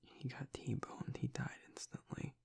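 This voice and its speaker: A man playing a tough young werewolf pack alpha broken by grief in an intimate audio roleplay, halting and choked up, with a breathy, raspy voice. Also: a little mellow, lightly acted. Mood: bitter grief. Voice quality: breathy, raspy whisper with gravelly breaks. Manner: halting, fragmented, swearing under strain. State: choked up, grieving.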